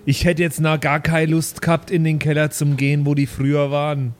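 A man's voice: fairly high pitch, level tone, a little fast. A man with a Franconian accent, chatting casually as a player in an improvised role-play podcast.

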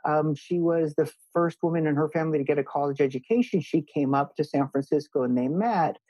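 A man's voice: a little high, brisk, mellow, breathy edge, dry recording, poor audio. A man from Hawaii, a law scholar and guest, answering interview questions earnestly and emphatically, often restarting phrases as he thinks, over a video call.

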